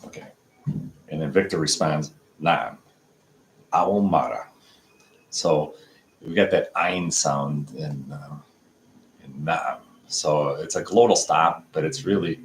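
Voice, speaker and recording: Very deep, gravelly man in his fifties. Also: slight background noise, poor audio. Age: 50s. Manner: slow, halting explanations with many fillers, breaks words down piece by piece. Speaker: language learner teaching from a textbook dialogue. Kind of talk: casual self-recorded practice lesson.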